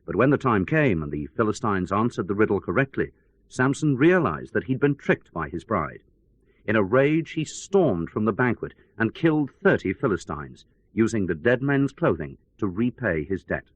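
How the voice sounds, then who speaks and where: Radio newscast drama: actor as wry male correspondent, very expressive delivery, lowish voice, dry close sound. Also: normal speed, breathy edge, dry recording, highs cut off. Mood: wry, dramatic. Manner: wry, theatrical, emphatic storyteller. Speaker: news correspondent. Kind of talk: dramatized radio news report storytelling.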